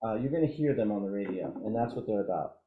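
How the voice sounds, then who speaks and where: A man giving an informal training presentation as an instructor, explaining things haltingly and thinking aloud through examples. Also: lowish voice, lively intonation. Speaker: emergency radio trainer. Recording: dull, roomy, echoey audio.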